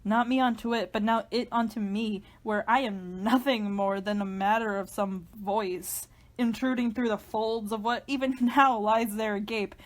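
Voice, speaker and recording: A woman's voice: medium pitch, fairly even intonation, normal speed, close to an everyday voice. Haunted, brooding narrator voiced by a woman. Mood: disturbed, building intensity. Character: haunted, paranoid narrator unravelling over an obsessive manuscript. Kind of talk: dramatic monologue reading.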